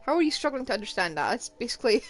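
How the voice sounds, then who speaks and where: A woman's voice: a little high, very animated, racing, faint music behind. A woman game streamer giving casual live commentary as she plays.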